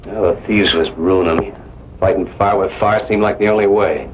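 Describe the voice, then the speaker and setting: An actor playing a stubborn, grim, commanding rancher with terse, tough talk in an old-time radio western. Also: male voice, low-pitched, steady tone, moderate pace, close to an everyday voice. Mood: weary, grim. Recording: muffled, noisy old radio broadcast.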